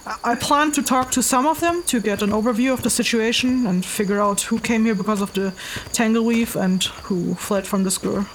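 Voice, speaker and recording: A woman's voice: a little high, a little fast, hardly any pauses, a little hiss or hum, close to an everyday voice, poor audio. A woman playing a weary, hesitant traveling investigator in a fantasy audio drama, speaking matter-of-factly. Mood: hesitant, rambling. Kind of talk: fantasy audio drama, narrated log and dialogue.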